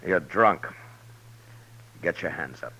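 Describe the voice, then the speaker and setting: An actor playing a stern, weary American marshal in a 1950s radio western, terse and grave, on a noisy old recording. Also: male voice, sits low, animated, takes it slowly, pushed and bright, breathy edge, dull audio, full character voice. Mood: stern, commanding.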